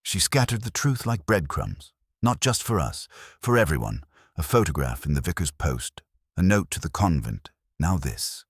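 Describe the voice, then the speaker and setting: Casting: man as unhurried detective inspector for audio mystery, very deep, understated, quietly relentless, dry close sound. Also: sing-song, husky, no echo, heavily acted. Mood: quietly certain. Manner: measured, understated, softly spoken. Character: quiet, unhurried, probing male police inspector.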